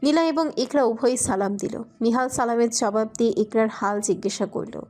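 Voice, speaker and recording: A woman's voice: on the high side, moderate pace, darkish tone. A woman reading scripted romantic fiction as a narrator.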